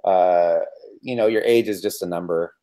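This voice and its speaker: A man's voice: low-pitched, normal speed, dark tone. A man around fifty, a podcast guest, candidly telling his own story with self-deprecating humor and emphatic repetitions.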